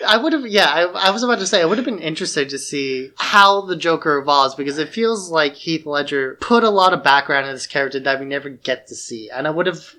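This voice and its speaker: Male podcast co-host with a crisp, bright, fairly high voice, casually talking movies. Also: expressive, fairly quick, few pauses. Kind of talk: casual film discussion.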